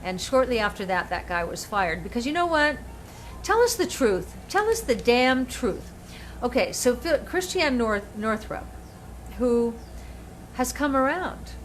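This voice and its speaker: An older woman hosting her show, telling stories in an animated, emphatic way with many restarts. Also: a little high, measured pace, a little hiss or hum, poor audio. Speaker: show host and author. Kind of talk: casual chat.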